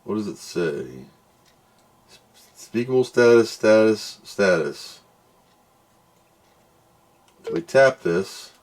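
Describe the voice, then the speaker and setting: A man's voice: lowish voice, lively intonation, slow, pauses often. A man doing casual hands-on product commentary, muttering to himself as he works and reading out screens. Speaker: product reviewer doing an unboxing and test.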